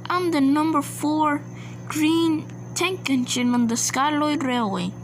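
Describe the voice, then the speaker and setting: A proud young steam engine from a children's cartoon, played by a woman. It speaks high and slowly, with earnest politeness. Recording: noisy home recording with hum.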